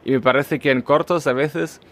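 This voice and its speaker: A man with a German accent chats casually as a podcast co-host. He talks at an ordinary pitch and thinks aloud, pausing often on fillers and restarting his sentences. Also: fairly even intonation, a bit bright. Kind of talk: casual chat.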